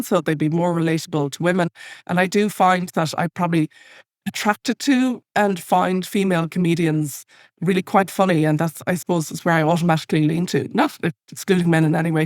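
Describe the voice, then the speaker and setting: A woman's voice: on the low side, quick pace, darkish tone, no echo, studio quality. A talkative, witty woman in her fifties with an Irish accent, from the west of Ireland, chatting casually and anecdotally as a podcast guest. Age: 50s. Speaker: podcast guest, comedy writer.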